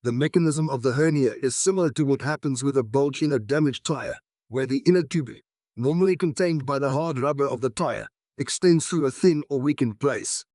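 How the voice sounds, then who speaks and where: A man's voice: medium pitch, fairly quick, darkish tone, no echo, studio quality. A man reads scripted exam practice passages aloud as a model reading.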